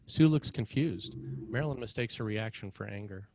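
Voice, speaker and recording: A man narrating scripted screenplay directions in a measured, matter-of-fact way with short clipped phrases. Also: on the low side, a little mellow, a little hiss or hum.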